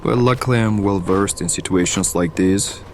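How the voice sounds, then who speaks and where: An actor playing a pragmatic, seasoned survivalist hireling in an audio drama, matter-of-fact and instructive. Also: male voice, low-pitched, moderate pace, a little mellow, a little hiss or hum. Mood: instructive, unruffled.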